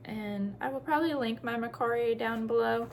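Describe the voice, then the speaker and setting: A woman's voice: fairly high pitch, normal speed, slight background noise. A woman chatting casually through a craft show-and-tell as host, in a rambling way with self-deprecating asides.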